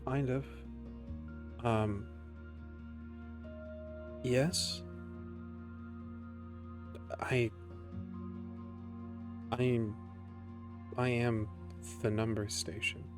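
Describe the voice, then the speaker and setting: A man voicing a lonely, uncertain number-station entity in a tabletop game, speaking in a halting, apologetic way. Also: medium pitch, fairly even intonation, over music. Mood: hesitant, bewildered. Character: lonely, uncertain sentient radio number-station named Faye.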